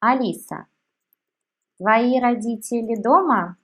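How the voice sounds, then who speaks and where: Very slow, deliberate woman teacher. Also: on the high side. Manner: says each word separately with long pauses. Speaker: language teacher. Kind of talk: slow, deliberate language lesson.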